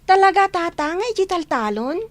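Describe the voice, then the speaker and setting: A woman's voice: very high voice, expressive, brisk, dry recording. A woman with an Ilocano accent playing a cheeky, inquisitive young child in a radio comedy drama, pert and questioning. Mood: teasing, curious.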